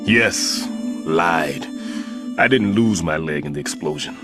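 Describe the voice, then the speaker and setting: An actor playing an older male bomb-disposal expert in a video game cutscene, grave and measured, with game music behind him. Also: a bass voice, expressive, heavily acted. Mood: remorseful. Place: recording studio. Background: game score music.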